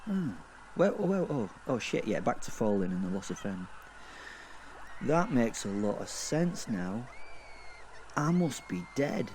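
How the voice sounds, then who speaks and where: A man playing a foul-mouthed, flippant werewolf killer who narrates a horror comedy audio drama in rambling, halting, sardonic asides. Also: mid-range voice, big pitch swings, a little mellow, slight background noise. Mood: indignant.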